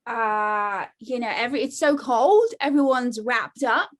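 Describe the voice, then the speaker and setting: A woman hosting a casual interview, relaxed and hesitant with many fillers, reading out her questions. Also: fairly high pitch, unhurried. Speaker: host.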